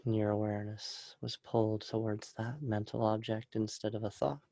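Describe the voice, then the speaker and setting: A calm, soft-spoken man teaching meditation, guiding a session and then answering questions from an online group. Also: fairly low pitch, normal speed, creaky, no room sound. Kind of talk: guided meditation and live Q&A webinar. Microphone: video call.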